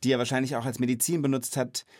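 A man's voice: mid-range voice, little pitch movement, quick pace, darkish tone, dry recording, studio quality. A man hosting a children's knowledge podcast, chatting and explaining in a casual, enthusiastic, colloquial way.

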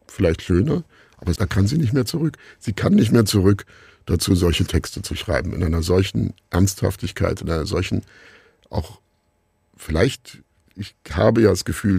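A middle-aged man giving reflective answers as an interview guest, musing and haltingly searching for words. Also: sits low, creaky, warm tone, breathy edge, no echo, studio quality. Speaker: interview guest, radio play maker.

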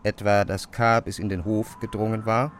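A man with speech narrating a literary classic in a measured, expressive way. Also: on the low side, slight background noise. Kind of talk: literary audiobook narration, dramatized radio reading.

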